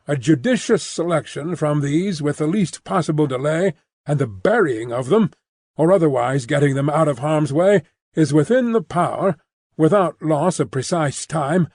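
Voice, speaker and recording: An elderly, loyal banker from a classic English novel, acted out by a male audiobook reader. He speaks in a raised male register with brisk, emphatic, old-fashioned phrasing and stubborn good cheer. The sound is very dry. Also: on the high side, expressive, darkish tone, no echo. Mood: earnest. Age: late seventies. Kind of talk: audiobook character dialogue.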